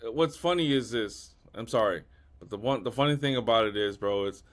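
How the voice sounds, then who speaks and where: A man telling personal stories as a podcast guest, animated and repeating key lines. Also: lowish voice, brisk. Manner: animated storyteller, repeats himself. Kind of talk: casual storytelling.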